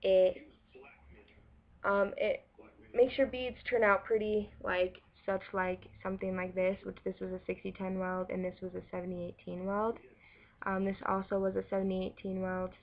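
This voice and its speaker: A woman's voice: mid-range voice, flat delivery, moderate pace. A young woman in a small room presenting a school project about welding to her webcam, in a casual way with many ums and restarts. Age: young adult. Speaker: welding student. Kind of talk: school project explainer video. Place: small room against a plain wall, indoors. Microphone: webcam in front of her. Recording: dull lo-fi webcam audio.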